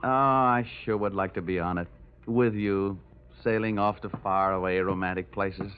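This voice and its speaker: A man's voice: low-pitched, steady tone, moderate pace, natural voice. An actor playing a wry, hardboiled insurance investigator in a 1950s radio detective drama, sardonic and flirtatious in his delivery. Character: wisecracking hardboiled male insurance investigator, smooth-talking with women. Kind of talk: 1950s radio detective drama acting, first-person narration. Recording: dull vintage 1950s radio recording with music.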